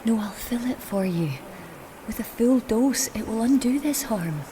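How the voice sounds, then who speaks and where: An actress playing a cunning, frail-seeming woman in an audio drama, formal and deliberate, often dropping into breathy whispers over a noisy ambient soundscape. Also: a little high, animated. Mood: coaxing.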